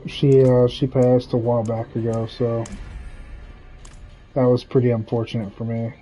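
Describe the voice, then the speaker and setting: A man's voice: mid-range voice, flat delivery, moderate pace, warm tone, dull audio, soft background music. A man chatting candidly while streaming a video game, rambling through personal stories with laughs and the odd swear. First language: English. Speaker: gaming streamer. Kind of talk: casual chat while gaming. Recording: game sounds behind the voice.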